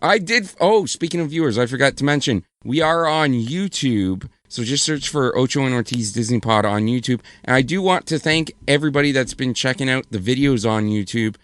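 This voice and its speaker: A man with a hoarse voice co-hosting a casual podcast, rambling and correcting himself as he goes. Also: mid-range voice, a bit bright, no echo. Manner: rambling, self-correcting, apologetic. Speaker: podcast co-host.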